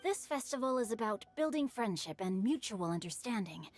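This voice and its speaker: Refined, lively bookish boy. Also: a little high, normal speed, soft background music. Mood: patient, teasing. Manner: polite, literary phrasing. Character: refined, good-natured bookish boy, gently teasing. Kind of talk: video game cutscene voice acting.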